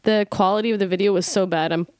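Woman, casual chat, relaxed, restarts her sentences, dry close sound. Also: medium pitch, fast, clean tone, no room sound. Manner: relaxed, trailing off, self-interrupting.

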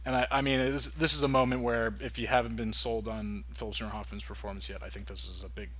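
A man co-hosting a casual film podcast, talking in a rambling way with many halting restarts. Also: on the low side, flat delivery, crowd noise. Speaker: podcast co-host.

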